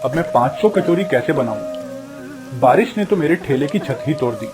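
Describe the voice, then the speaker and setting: A man's voice: fairly high pitch, animated, normal speed, over music, natural voice. A man playing a humble, hard-luck village food-cart vendor in a cartoon story. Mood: distressed, lamenting. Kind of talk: Hindi cartoon story voice acting.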